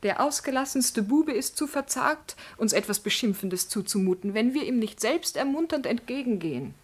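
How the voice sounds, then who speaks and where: An actress playing a proud, passionate noblewoman in 1950s German radio drama, with a husky voice and a theatrical, haughty, emphatic delivery. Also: on the high side, lively intonation, moderate pace. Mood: haughty, persuasive. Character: proud, passionate noblewoman, a duke's imperious mistress.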